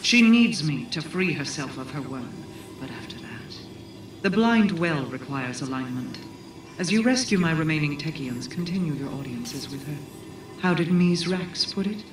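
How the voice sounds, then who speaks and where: A woman's voice: low voice, expressive. Imperious queen, echoing and distant. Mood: grave, distrustful. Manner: formal, measured, grave. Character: imperious, wary queen and coven leader. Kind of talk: dramatic video game cutscene speech. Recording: echoing, distant voice under music. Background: music.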